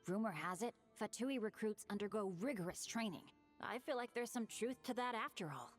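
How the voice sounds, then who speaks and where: A woman's voice: mid-range voice, expressive, brisk, faint music behind, natural voice. A woman voicing an upbeat, good-natured young male adventurer in a video game, casual and optimistic. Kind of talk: video game character acting.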